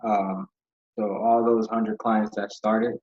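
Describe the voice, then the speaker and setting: Low-voiced man from Ghana, rambling. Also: little pitch movement, slow pace. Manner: rambling, with many fillers and restarts. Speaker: podcast guest, accountant. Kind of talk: casual interview storytelling. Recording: dull lo-fi audio.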